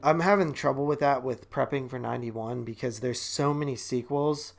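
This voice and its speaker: A man's voice: mid-range voice, moderate pace, gravelly, soft background music. A man co-hosting a podcast, reviewing movies in a casual, rambling, slangy way. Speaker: podcast co-host.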